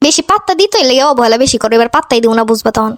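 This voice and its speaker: Cartoon wife woman actor: hurt, defiant, sarcastic, Bangladeshi Bengali accent, high-pitched with breakneck delivery. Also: lively intonation, rapid-fire, lightly acted. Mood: hurt, complaining. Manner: scolding, sarcastic. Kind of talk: amateur cartoon dialogue.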